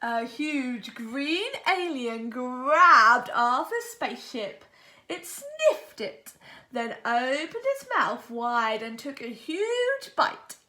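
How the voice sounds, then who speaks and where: A woman storyteller reads a children's picture book with a high, lively voice. She is expressive and dramatic, taking her time over the words. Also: very slow. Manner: expressive, dramatic delivery with acted sound words. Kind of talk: animated read-aloud of a picture book.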